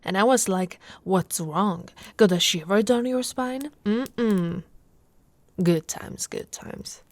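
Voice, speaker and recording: A woman's voice: medium pitch, slow. A woman from Italy voicing an affectionate, flirty orc warrior wife in an intimate ASMR roleplay, teasing and often dropping toward a whisper. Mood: nostalgic, proud.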